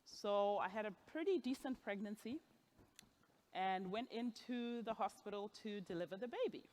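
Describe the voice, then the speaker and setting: A woman's voice: medium pitch, moderate pace, darkish tone. A woman in her forties from Zimbabwe, giving a personal storytelling speech at a gala and laughing at her own asides. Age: 40s. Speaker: gala speaker. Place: gala event hall, on stage.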